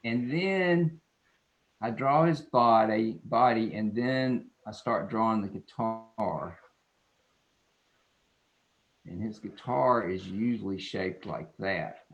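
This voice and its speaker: A man's voice: fairly low pitch, slow pace, pauses often, highs cut off. A man, an illustrator talking casually and playfully as he demonstrates drawing. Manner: folksy, playful, self-described silly. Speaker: children's book illustrator.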